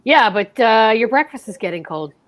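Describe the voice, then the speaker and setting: A woman's voice: on the high side, expressive, normal speed, slight background noise, natural voice. A woman playing a sensible, resigned husband in old time radio comedy style, reasoning with mild exasperation.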